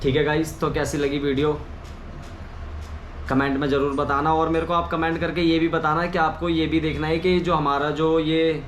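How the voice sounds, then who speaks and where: A man's voice: a little high, flat delivery, normal speed. Man, casual tutorial, noisy background. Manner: informal, explanatory, repeats himself. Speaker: video creator giving a how-to. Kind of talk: casual hands-on tutorial chat. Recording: clearly noisy ambient background.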